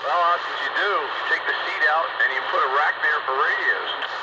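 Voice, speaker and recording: A man's voice: on the high side, moderate pace, lo-fi sound. Man on a very noisy mobile radio. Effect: two-way radio transmission. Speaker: amateur radio operator. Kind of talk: casual radio chat.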